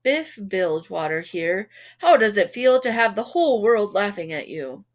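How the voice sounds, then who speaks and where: Goading TV newsman, performed by a woman, storybook character, punchy and lively in pitch. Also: moderate pace, lightly acted. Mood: taunting. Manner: punchy, taunting interview questions. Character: brash, goading male TV news anchorman.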